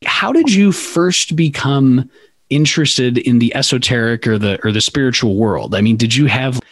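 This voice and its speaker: A man's voice: medium pitch, moderate pace, dry recording, studio quality. A man hosting a podcast, introducing his guest and asking playful, enthusiastic questions. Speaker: podcast host.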